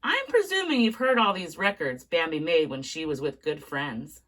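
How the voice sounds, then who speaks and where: A woman's voice: low-pitched, very animated, normal speed, fairly bright tone, exaggerated voice. A woman reading aloud, voicing a polite, easygoing male songwriter telling his own story.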